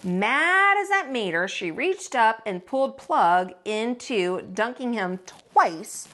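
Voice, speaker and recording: A woman with a fairly high voice reads a children's story aloud. Her pitch swings widely as she leans into folksy phrasing. She gives dramatic weight to key words, like a teacher at storytime. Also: takes it slowly. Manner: folksy, expressive, dramatic emphasis on repeats. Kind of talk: animated read-aloud storytelling.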